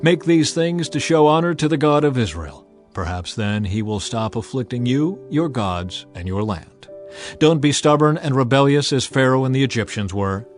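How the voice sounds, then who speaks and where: A man voicing a grave pagan priest in a scripture audiobook, giving measured instructions. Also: mid-range voice, animated, soft background music, lightly acted. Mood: grave, instructive.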